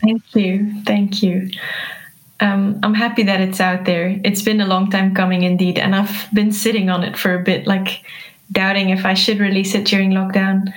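Soft-spoken young woman, non-native English. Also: medium pitch, little pitch movement, moderate pace. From Belgium. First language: Dutch. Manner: soft-spoken, hesitant, laughs often. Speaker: musician guest. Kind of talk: casual interview.

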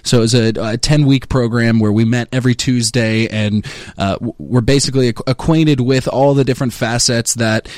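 A young man in his twenties talks through his points in an earnest, halting way, often restarting his sentences. His voice sits at an ordinary middle pitch, and the recording is dry and close to the mic. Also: steady tone, a little mellow, no room sound. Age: 20s. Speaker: talk show co-host. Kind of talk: casual conversation on a talk show.

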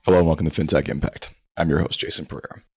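A man hosting a podcast interview with a hoarse, sore throat, chatty and quick to jump in. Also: a bass voice, fairly even intonation, darkish tone, soft background music. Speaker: podcast host. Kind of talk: casual interview.

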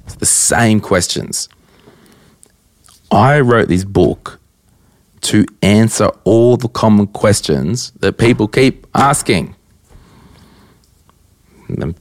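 A young Australian man with a croaky voice hosting a casual solo podcast at his desk, answering listener questions bluntly and chattily. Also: fairly low pitch, no echo. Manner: chatty, blunt, rambling with fillers. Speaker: podcast host. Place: at a desk, indoors.

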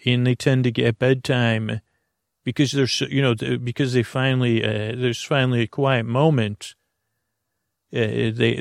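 A man with a creaky voice hosting a bedtime podcast in a soothing, meandering monologue that halts and loses its thread. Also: medium pitch, dry recording, studio quality. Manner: meandering, halting, losing his thread; soothing, lulling. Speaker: podcast host.

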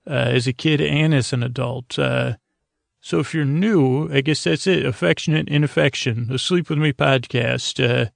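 A man hosting a bedtime podcast in a casual, meandering monologue, halting and self-deprecating, often trailing off mid-thought, with a gravelly voice. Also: mid-range voice, no room sound, clean studio sound. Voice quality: gravelly, creaky. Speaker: podcast host.

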